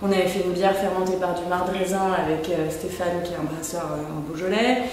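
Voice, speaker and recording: A woman's voice: on the low side, steady tone, quick pace, darkish tone. A woman from Lyon who co-founded a microbrewery, answering interview questions in a casual, hesitant way with many fillers. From Lyon, France. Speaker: brewery co-founder. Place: microbrewery shop. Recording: echoey room, mic at a distance.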